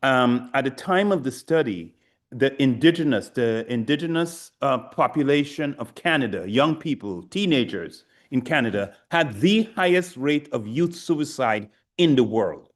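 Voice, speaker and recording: A man speaking as a researcher on a panel, explaining in a measured, storytelling way. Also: medium pitch, animated.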